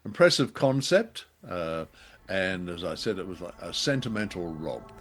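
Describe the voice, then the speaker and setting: Low-voiced man, wry podcast co-host. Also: sing-song, normal speed. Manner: wry, jokey. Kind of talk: casual review chat.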